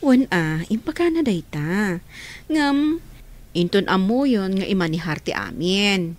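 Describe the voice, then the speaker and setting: Woman as a lively, protective guardian. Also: medium pitch, measured pace, lightly acted. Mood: reassuring. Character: protective, reassuring middle-aged guardian woman. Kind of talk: radio drama acting in Ilocano.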